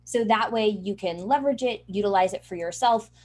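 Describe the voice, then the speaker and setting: A woman guest explains her work in an interview. Her voice sits at an average pitch, and she talks in an earnest, assured manner. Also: fairly quick, a little mellow. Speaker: interview guest, publicity trainer and journalist.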